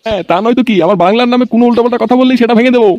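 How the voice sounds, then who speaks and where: A man's voice: very high voice, fairly even intonation, racing, dull audio, heavy background noise. A man voicing a crude, whiny, blustering liquor-loving husband in a comedy cartoon. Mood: indignant. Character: boastful, crude husband who loves his liquor. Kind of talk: amateur comedy cartoon voice acting.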